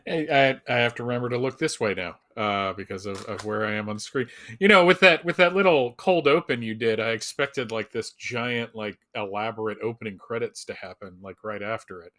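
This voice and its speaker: A man's voice: medium pitch, fairly quick, hardly any pauses, bright, forceful tone. A man co-hosting a live fan show in a casual, joking way, with wry, self-deprecating humor.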